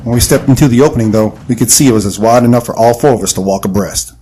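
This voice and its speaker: A man's voice: medium pitch, rapid-fire, dark tone, slight background noise, natural voice, rough recording. A man playing an earnest, folksy adventurer who tells his tale in an audio drama. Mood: narrating. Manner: earnest storytelling. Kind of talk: audio drama narration and acting.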